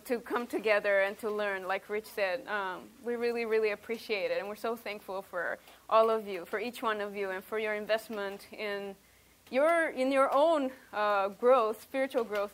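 A woman in her thirties leads a group workshop. Her voice sits high, and she talks in an outgoing, chatty way with frequent ums. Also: fairly bright tone. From Romania. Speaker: workshop leader. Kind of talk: leading a church workshop. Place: workshop room, indoors.

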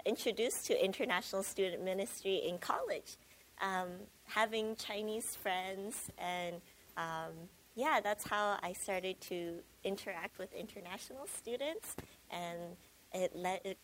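An American woman on campus ministry staff, introducing herself hesitantly and softly, with pauses. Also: medium pitch, slight background noise. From United States. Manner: hesitant, soft-spoken, unsure phrasing. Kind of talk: panel self-introduction.

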